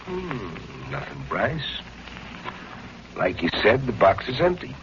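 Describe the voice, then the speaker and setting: A dull, noisy vintage radio track carries a hard-boiled policeman. The voice is fairly deep, with clipped, sardonic delivery. He needles and threatens like a man who already knows the answer. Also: wildly swooping pitch, slow, exaggerated voice. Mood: needling, menacing. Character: hard-boiled, menacing cop, a betrayed husband. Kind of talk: old-time radio noir acting. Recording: noisy, dull old radio drama recording.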